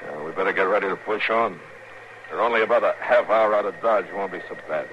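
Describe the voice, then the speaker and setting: An actor playing a stern, steady middle-aged marshal of the Old West in a 1950s radio western, American, laconic and matter-of-fact. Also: male voice, deep voice, fast. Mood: calm, firm. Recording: muffled, noisy 1950s radio recording.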